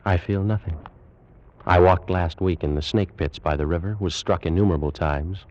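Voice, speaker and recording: An actor playing a proud, fervent ancient Egyptian priest and alchemist in a grave, theatrical 1940s radio drama style. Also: male voice, low voice, normal speed, darkish tone, close to an everyday voice. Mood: solemn, assured. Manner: grave, formal, theatrical delivery. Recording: muffled old-time radio recording.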